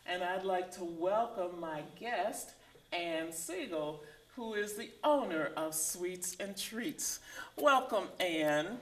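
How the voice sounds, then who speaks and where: A woman hosting a community interview program, introducing her guest and asking questions in a measured style. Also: lowish voice, lively intonation, fairly bright tone. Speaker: interview host.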